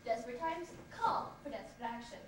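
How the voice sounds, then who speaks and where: A woman's voice: fairly high pitch, a little fast, lightly acted. A young woman playing a determined, impatient bride in an amateur stage play, with an emphatic, insistent delivery. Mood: earnest, resolute. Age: young adult. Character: determined, impatient bride desperate to wed a stranger. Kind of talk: amateur high school stage acting. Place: theatre stage, camera far off. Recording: distant, echoey, noisy camcorder stage recording.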